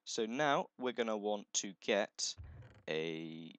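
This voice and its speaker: A man with a croaky, just-woken voice, chatting casually through a gameplay video, thinking aloud and correcting himself as he goes. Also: lowish voice, animated, takes it slowly, fairly bright tone, dry recording. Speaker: let's play host.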